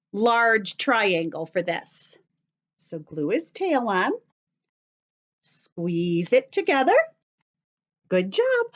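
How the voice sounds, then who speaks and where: Children's story-time teacher, female. Lively, high-pitched, slow step-by-step delivery with encouraging questions. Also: highs cut off. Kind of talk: instructional talk to young children.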